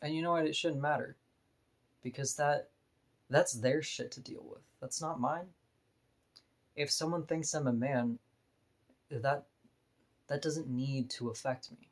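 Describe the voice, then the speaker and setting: A woman with a deep voice, talking bluntly and frankly in a candid personal vlog monologue, laughing now and then between points. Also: unhurried, pauses often. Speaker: vlogger.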